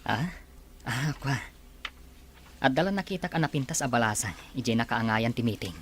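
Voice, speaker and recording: Medium-pitched young male boss played by an actor. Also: animated, normal speed, a bit bright, slight background noise, natural voice. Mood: distracted. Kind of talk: Ilocano audio drama acting.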